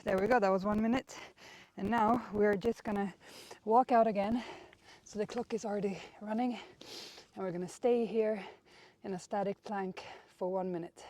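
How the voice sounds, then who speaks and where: A woman's voice: medium pitch, steady tone, moderate pace, a little mellow. A young woman, a non-native English speaker, chatting casually and encouragingly as she leads a workout outdoors. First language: not English. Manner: encouraging, conversational. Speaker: workout instructor and host. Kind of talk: casual workout instruction and chat.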